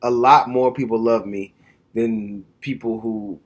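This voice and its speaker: Thirties male, average pitch, candid and thoughtful, talking to camera at home. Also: fairly even intonation, measured pace. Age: 30s. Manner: frank, plainspoken, thoughtful. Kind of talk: casual reflective monologue to camera.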